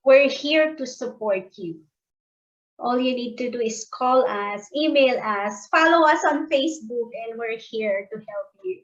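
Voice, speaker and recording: A woman from the Philippines, speaking in gentle, reassuring short phrases as a guest on a video call. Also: on the high side, expressive, a little slow, muffled recording. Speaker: community organization staff member.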